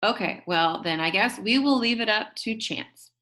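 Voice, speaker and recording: A woman reading a children's storybook, voicing a brisk, jokey male substitute teacher who addresses his class. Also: medium pitch, expressive, a bit bright, close to an everyday voice. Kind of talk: children's storybook read-aloud.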